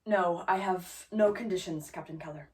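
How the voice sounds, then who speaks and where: A woman performing a stage monologue as a haunted, defiant young teacher, speaking plainly and deliberately with pauses. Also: lowish voice, voice pushed down, close to an everyday voice.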